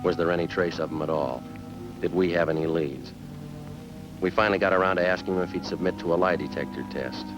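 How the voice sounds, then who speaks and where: A man playing a terse, unflappable police sergeant in a radio crime drama, speaking in a clipped, deadpan, matter-of-fact way. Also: deep voice, brisk, lo-fi sound, music in the background, natural voice. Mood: flat, procedural. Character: terse, unflappable male police sergeant narrating his case.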